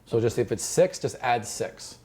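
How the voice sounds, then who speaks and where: A man's voice: mid-range voice, lively intonation, moderate pace, a little mellow. A man running a tabletop game as game master, shifting between dramatic description and casual asides. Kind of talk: improvised narration of a tabletop game. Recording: music bed behind the voice.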